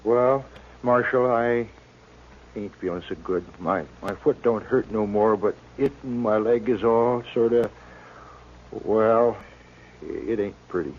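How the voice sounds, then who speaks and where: An ailing frontier father from an old radio western. He talks in a folksy, halting rural American way, weakened by pain and bitter underneath. The vintage recording is dull with faint noise. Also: on the low side. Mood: weary, resigned. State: weak and in pain. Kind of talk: 1950s radio western acting.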